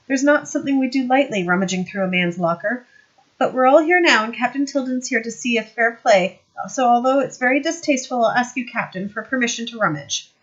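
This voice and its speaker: A scheming police sergeant, loud and oily with fake innocence, as a woman voices him. His voice sits higher and brasher than the narration. The audiobook sound is slightly muffled. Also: a little fast, natural voice. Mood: smug.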